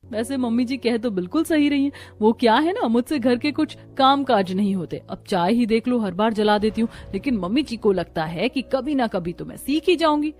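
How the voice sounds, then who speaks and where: A woman's voice: a little high, expressive, brisk, hardly any pauses, a little mellow. A woman voicing a feisty, sarcastic young Indian daughter-in-law in a moral story cartoon, with a sassy, cutting, indignant manner. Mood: mock innocent. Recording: music behind the voice. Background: background music.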